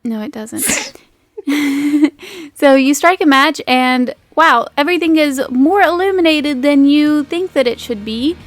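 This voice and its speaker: High-voiced woman running a tabletop game. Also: measured pace, no room sound. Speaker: game master. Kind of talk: improvised tabletop game narration.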